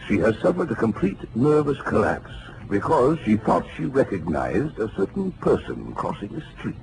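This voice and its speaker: An actor playing a pompous, fussy, excitable male psychoanalyst in a 1940s radio drama, halting and emphatic. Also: mid-range voice, vocal fry. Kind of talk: 1940s radio comedy-mystery acting. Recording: noisy vintage radio recording.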